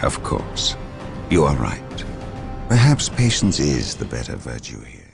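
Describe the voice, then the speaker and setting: An actor playing a dutiful but impatient military colonel in a video game cutscene, speaking formally and emphatically to a superior. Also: male voice, extremely low pitch, huge pitch swings, a little slow, vocal fry, exaggerated voice. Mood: chastened. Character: male Imperial Guard colonel, dutiful, anxious, impatient officer. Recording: music behind the voice. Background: music.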